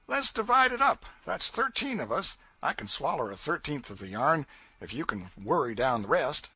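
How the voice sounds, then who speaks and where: A man voicing a joking, rough raftsman with a sly, teasing manner. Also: on the high side, big pitch swings, normal speed, pushed and bright, no echo, pitched above the performer's own voice, exaggerated voice.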